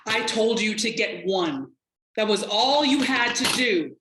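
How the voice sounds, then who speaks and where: A woman playing a stern, sharp-tongued law enforcement agent who speaks with clipped authority in a drama table read. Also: on the high side, lively intonation, unhurried, background noise. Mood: scolding. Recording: roomy, echoing room sound.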